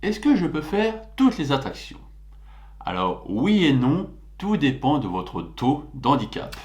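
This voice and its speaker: A co-host who asks questions and jokes in a relaxed chat. The voice is lively, swinging through its pitch. The pitch sits mid-range. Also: unhurried. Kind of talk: casual chat.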